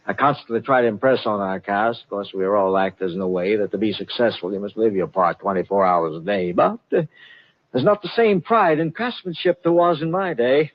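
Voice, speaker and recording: An actor playing a genteel, smug older colonel and swindler, courtly and florid, in 1950s radio mystery style. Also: male voice, fairly low pitch, brisk, no room sound, natural voice. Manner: courtly, florid, mock-regretful. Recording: dull 1950 radio broadcast.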